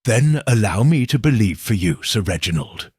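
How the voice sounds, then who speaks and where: A man voicing a calm, incisive middle-aged detective who speaks in a measured, assured way. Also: lowish voice, breathy edge, no echo, clean studio sound, exaggerated voice. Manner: measured, deliberate, quietly assured.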